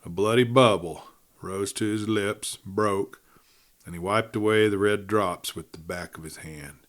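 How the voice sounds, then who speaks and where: A man's voice: fairly low pitch, a little slow, a bit bright. Dramatic man narrating a Western tale. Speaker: audiobook narrator.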